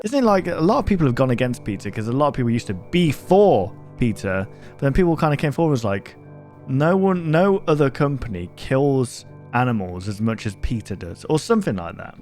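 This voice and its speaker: A man's voice: mid-range voice, big pitch swings, fairly quick, darkish tone, soft background music. A man giving casual, rambling reaction commentary in a jokey, incredulous manner. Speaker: YouTuber reacting to horror videos.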